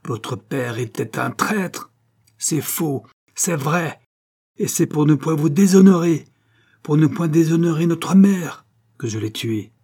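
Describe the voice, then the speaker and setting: A man's voice: mid-range voice, fairly even intonation, moderate pace, a little mellow, lightly acted. A man voicing a proud, troubled young duke in an audiobook, solemn, emphatic and imploring with his brother. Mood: indignant. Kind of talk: audiobook dialogue acting.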